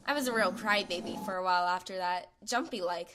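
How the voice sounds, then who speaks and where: A woman's voice: on the low side, fairly quick, natural voice. A woman voicing a tough, slangy young girl fighter in a comic dub, talking in a brash, offhand way. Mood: rueful.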